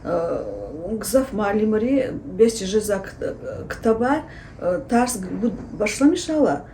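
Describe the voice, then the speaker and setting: Hesitant woman explaining, mellow voice. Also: mid-range voice, expressive, slow pace, slight background noise. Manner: explanatory, hesitant with frequent fillers. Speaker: Lezgian language teacher and researcher. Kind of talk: interview answers.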